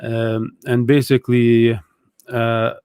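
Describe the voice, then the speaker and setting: A man's voice: mid-range voice, flat delivery, slow pace, a little mellow, clean tone, no room sound. A young adult man from Egypt, a guest telling his story in casual conversation, thoughtful and hesitant with many fillers.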